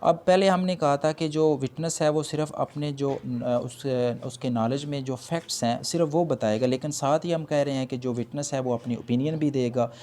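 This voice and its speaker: A man's voice: mid-range voice, moderate pace, few pauses, a little mellow. A man with a Pakistani accent giving a point-by-point law lecture, explaining didactically, repeating points in simpler words and mixing in English legal terms.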